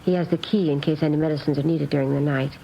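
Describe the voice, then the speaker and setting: A low-voiced nun speaks with a prim, earnest innocence and careful diction. There is a creak in her voice. It is classic fifties radio drama on a dull recording with faint noise. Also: steady tone, fast. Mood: earnest, precise. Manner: prim, proper, carefully enunciated. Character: earnest, precise, naive nun running a hospital pharmacy. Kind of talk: 1950s radio drama acting.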